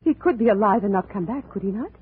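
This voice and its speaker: A woman's voice: medium pitch, expressive, natural voice. A woman playing a haunted, lonely wife in a 1950s radio drama, formal and melodramatic in her speech. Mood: wistful, stubborn. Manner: slow, formal, melodramatic, without contractions. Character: brooding, lonely abandoned wife, haunted and intense. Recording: tinny, noisy old radio recording.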